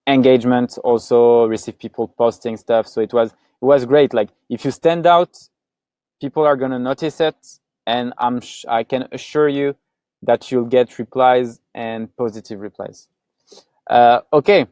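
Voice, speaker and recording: A man's voice: medium pitch, steady tone, moderate pace, a little mellow, clear, resonant tone, dry recording. French-accented man, chatty webinar host. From France. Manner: chatty, lots of "like" and restarts. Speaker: co-founder presenting a webinar. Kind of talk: casual webinar presentation.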